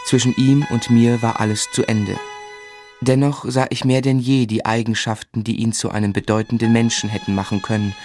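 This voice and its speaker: An actor playing a melancholy gentleman who narrates his own past in a radio drama, measured, literary and reflective. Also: medium pitch, flat delivery, lightly acted. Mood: sorrowful, reflective. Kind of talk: scripted first-person narration in radio drama. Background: music.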